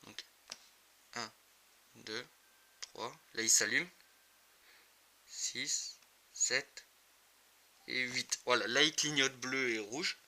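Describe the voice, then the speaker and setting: Male reviewer, informal and hesitant hands-on demo delivery, very bright forceful tone, faintly noisy amateur recording. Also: medium pitch, drawn-out words, pauses often. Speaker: motorcycle gear video reviewer. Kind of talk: casual hands-on product demonstration.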